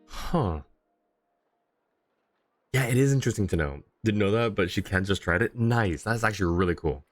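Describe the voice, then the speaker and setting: Male game streamer, very low voice, casual and conversational, faint music underneath. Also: lively intonation, moderate pace, no echo. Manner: conversational, reading chat comments aloud. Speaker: game livestreamer. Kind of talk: casual livestream chat, answering viewers.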